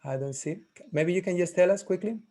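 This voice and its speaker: A man teaching a class on a video call, taking roll in a friendly, halting way, thinking aloud as he goes. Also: sits high, warm tone.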